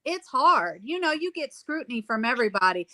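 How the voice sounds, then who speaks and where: A woman from Ohio, a stage actress, talking in a sassy, quick, emphatic way on a podcast. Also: on the high side, very animated, sharp tone, no room sound. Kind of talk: casual podcast chat.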